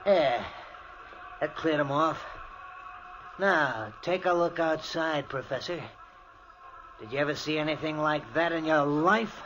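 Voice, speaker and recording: An actor playing a bold, sly lab assistant in an old-time radio mystery, speaking with insistent intensity. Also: male voice, on the high side, a little slow, pauses often, a bit bright. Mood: ominous. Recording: muffled, noisy vintage radio recording.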